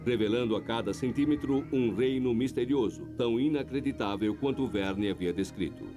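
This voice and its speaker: Man narrating a documentary voice-over over soft music. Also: mid-range voice, normal speed.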